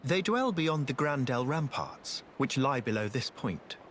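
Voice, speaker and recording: A fawning, theatrical soldier-courtier with swooping delivery. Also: male voice, mid-range voice, animated, a little slow, a bit bright, slight background noise. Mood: eager, servile. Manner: flowery, obsequious, archaic formal speech. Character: fawning male soldier, unctuous and scheming courtier. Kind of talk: video game voice acting.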